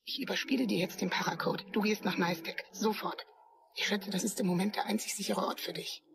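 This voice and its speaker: A woman's voice: medium pitch, steady tone, fairly quick, creaky, background noise, natural voice. An actress playing a grim, commanding female hacker in a German sci-fi audio drama, speaking tersely and urgently. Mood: hushed, insistent. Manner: terse, commanding, guilt-ridden. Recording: loud music bed under dialogue.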